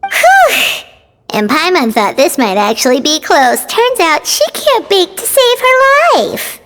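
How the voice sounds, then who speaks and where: A woman's voice: sits high, wildly swooping pitch, measured pace, fairly bright tone, big-room sound, heavily acted. A woman voicing a tiny, childlike female companion in a video game who is brash, outspoken and fiercely loyal. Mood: relieved. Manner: indignant, outspoken, refers to herself by name.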